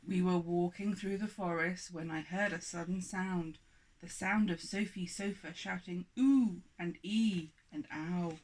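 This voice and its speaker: A woman's voice: fairly low pitch, steady tone, unhurried, a little mellow, rough recording. A woman voicing a silly, earnest yak defensively protesting innocence in a children's storybook.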